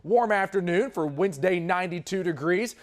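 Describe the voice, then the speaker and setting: An American man with a notably high voice delivers a TV weather forecast. He is conversational and emphatic, addressing the audience directly as he moves through the outlook. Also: brisk. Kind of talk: broadcast weather forecast presentation.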